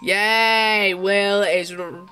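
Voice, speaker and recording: A woman's voice: mid-range voice, fairly even intonation, extremely slow. A woman giving casual, rambling commentary as the host of a toy showcase video. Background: music and the toy's sounds behind the voice.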